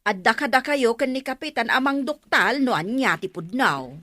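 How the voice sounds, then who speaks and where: A woman's voice: a little high, expressive, moderate pace, pushed and bright, no room sound, clean studio sound. An actress with an Ilocano accent playing a gossipy, tattling village woman in a radio comedy drama. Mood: gossiping.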